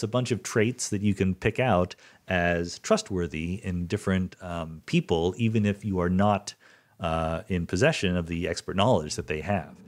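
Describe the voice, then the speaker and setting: A man hosting a podcast, talking through listener questions in a casual, explanatory monologue with conversational check-ins and plain, informal wording. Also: lowish voice. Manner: conversational, often checking with "right?".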